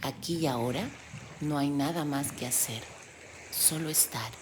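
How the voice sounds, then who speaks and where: A woman leading a guided meditation in a gentle, soothing delivery with pauses. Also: low voice, noisy background. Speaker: meditation guide, yoga teacher. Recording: steady loud sea-wave background sound. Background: sound of ocean waves.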